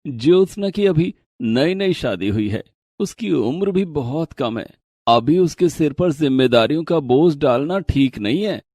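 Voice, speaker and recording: An actor playing a caring elderly father-in-law, gently reasoning, in an animated moral story. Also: fairly high pitch, unhurried, no echo, studio quality. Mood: concerned.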